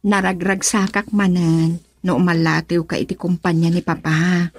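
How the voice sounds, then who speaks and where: Husky-voiced young Filipino wife played by an actress. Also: female voice, lowish voice, flat delivery, moderate pace. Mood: persuasive. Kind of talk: Ilocano radio drama acting.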